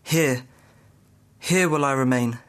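A man's voice: medium pitch, takes it slowly, fairly bright tone. A young British man, a student actor playing a desperate, lovestruck banished nobleman in an impassioned Shakespearean audio drama. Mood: tender grief. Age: young adult. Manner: impassioned, poetic, formal delivery.

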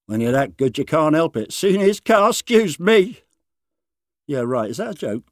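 A man's voice: on the high side, big pitch swings, moderate pace, dry recording, clean studio sound. A man voicing a gossiping, foul-mouthed building-site worker in a short story, grudging and mocking, with blunt, clipped banter. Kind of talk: dramatised short-story dialogue.

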